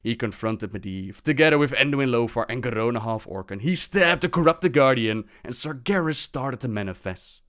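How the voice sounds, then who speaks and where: Man narrating fantasy lore, mid-pitched. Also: clean tone. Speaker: narrator, lore channel host. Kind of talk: scripted story narration.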